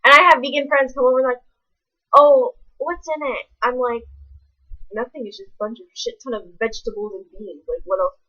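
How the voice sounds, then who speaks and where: A woman's voice: on the high side, fairly quick, bright, forceful tone, muffled recording. A young woman, ranting casually to camera in a blunt, rambling way full of swearing. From American South. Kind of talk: casual vlog rant.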